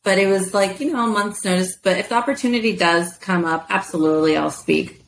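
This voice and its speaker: A woman's voice: medium pitch, a little fast, gravelly, a little hiss or hum, low-quality recording. A woman whose first language is Spanish, chatting as a guest in an interview with many fillers and easy laughter. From United States. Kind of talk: casual interview.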